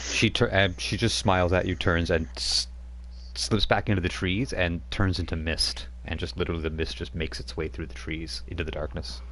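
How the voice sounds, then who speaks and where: A man running a tabletop game as game master, explaining rules and lore in a relaxed, rambling way. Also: low-pitched, moderate pace, low-quality recording. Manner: explanatory, with frequent fillers and restarts.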